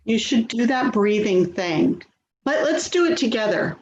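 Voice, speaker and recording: A woman's voice: fairly high pitch, lively intonation, measured pace, a little mellow. A woman acting a harried, placating adult daughter in a readers theater play, weary, hesitant and pleading. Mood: exasperated. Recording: dull, echoey, clearly noisy audio.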